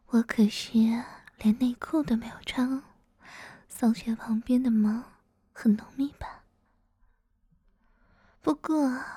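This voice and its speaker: A woman acting as a domineering middle-aged mother-in-law in an adult audio drama, high-voiced yet mellow. She teases and gives slow orders, often dropping into breathy whispers. The sound is close and dry. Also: no room sound. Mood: seductive, teasing. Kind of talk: intimate adult audio roleplay, partly whispered.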